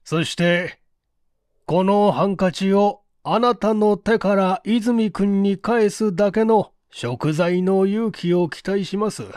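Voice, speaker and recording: A woman voicing a composed, courteous male detective chief in an audiobook, explaining in a deliberate, emphatic and formal way. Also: mid-range voice, a little mellow, dry recording, studio quality, voice pushed up, natural voice. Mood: calm, firm. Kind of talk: storybook dialogue in audiobook reading.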